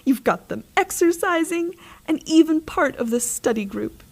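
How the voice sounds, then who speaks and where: Woman as a kindly, higher-voiced suburban mom. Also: lively intonation, normal speed, vocal fry, darkish tone. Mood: welcoming. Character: kindly, long-suffering suburban mother of a genius. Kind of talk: scripted audio drama acting.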